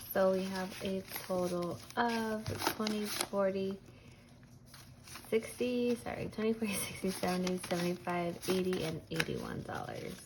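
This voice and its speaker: Hoarse, gravelly woman counting cash aloud. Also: mid-range voice. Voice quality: raspy. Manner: counts bills aloud in steady rhythm. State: hoarse from losing her voice. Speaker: budgeting vlogger. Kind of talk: casual chat while counting cash. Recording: noisy home recording.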